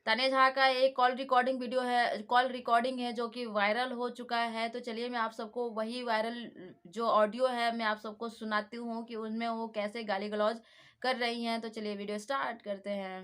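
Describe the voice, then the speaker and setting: A woman's voice: a little high, flat delivery, moderate pace, fairly bright tone, clear, resonant tone. A woman with an Indian accent giving casual reaction commentary to her viewers as the host of a channel.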